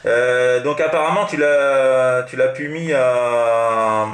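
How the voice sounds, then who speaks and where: A man's voice: medium pitch, fairly even intonation, slow pace. A man with a French accent chatting casually as an amateur radio operator, in a hesitant, rambling, repetitive way. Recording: roomy, echoing room sound.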